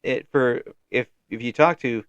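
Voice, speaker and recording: Male American interviewer, medium pitch, jokey and halting, very dry close recording. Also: a bit bright, clear, resonant tone, dry recording, highs cut off. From United States. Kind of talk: casual chat interview.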